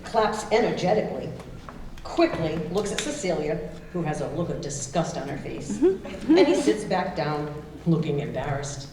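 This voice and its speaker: A woman's voice: low voice, very animated, moderate pace. A woman reading stage directions as narrator at a live staged reading. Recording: roomy, distant mic, clear noise.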